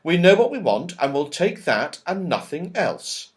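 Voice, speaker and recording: A man's voice: fairly high pitch, sing-song, unhurried. A man voicing a sensible young schoolboy in a storybook, practical and a little cross. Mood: earnest.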